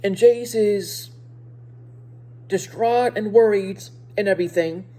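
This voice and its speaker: A woman with a speech impediment giving a casual video review to viewers, speaking haltingly and often repeating and rephrasing her words. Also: medium pitch, warm tone.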